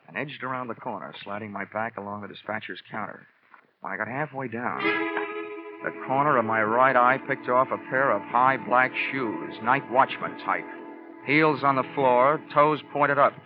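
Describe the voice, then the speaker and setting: Actor as hard-boiled radio detective, dull old recording. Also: male voice, medium pitch, close to an everyday voice. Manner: wry, deadpan hard-boiled narration. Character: wisecracking, cool hard-boiled male investigator. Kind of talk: 1940s radio drama narration and acting. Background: music.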